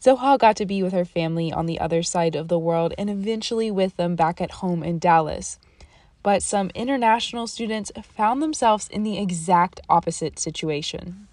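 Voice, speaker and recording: A woman host reading scripted narration with an even, read-out delivery and asking interview questions. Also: lowish voice, moderate pace, dry recording.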